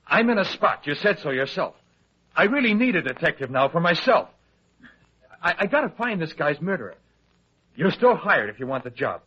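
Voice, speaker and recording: An actor playing an anxious, colloquial, fast-talking newspaper reporter in old-time radio detective style. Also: male voice, fairly high pitch, husky, heavily acted. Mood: alarmed, pleading. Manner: colloquial, excitable, hesitant when pressed. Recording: dull, lo-fi old radio drama recording.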